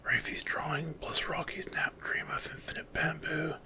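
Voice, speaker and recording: A man's voice: a little high, completely flat delivery, moderate pace. A man narrating a dramatic, intense fantasy horror story entirely in ASMR whispers.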